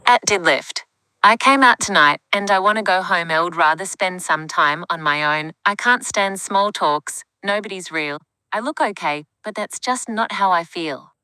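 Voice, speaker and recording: A woman's synthetic voice reading out live chat messages in a flat, even way. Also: fairly low pitch, moderate pace, smooth, no room sound, low-quality recording. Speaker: text-to-speech chat reader.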